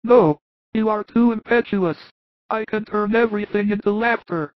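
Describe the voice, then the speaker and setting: Guy doing a chirpy, upbeat apostle guy, really high, dull dry recording. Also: normal speed, dry recording, natural voice. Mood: eager. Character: eager, good-humored male apostle, practical and cheerful. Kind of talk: audiobook reading.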